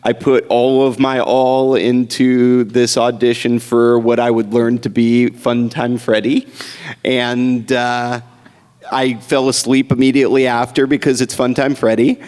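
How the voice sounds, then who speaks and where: Man, voice actor, unhurried panel chat, rambling with lots of fillers, echoing convention hall. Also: mid-range voice, flat delivery, a little mellow, clean tone. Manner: rambling, many fillers and restarts, chuckles often.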